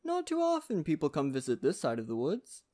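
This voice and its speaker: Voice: mid-range voice, wildly swooping pitch, fairly quick. Friendly lumberjack with a lilting delivery performed roleplay. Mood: welcoming, musing. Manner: chatty, folksy, gently courteous. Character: friendly, poetic male Canadian lumberjack, burly and easygoing. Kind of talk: ASMR roleplay addressed to the listener.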